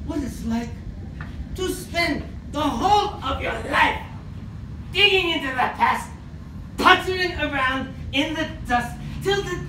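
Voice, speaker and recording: Imperious, obsessed archaeologist played by a woman on stage, high and deliberate delivery, echoey noisy theatre recording. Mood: bitter, fervent. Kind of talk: theatrical stage acting of a radio play. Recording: echoey theatre, distant mic, noisy background.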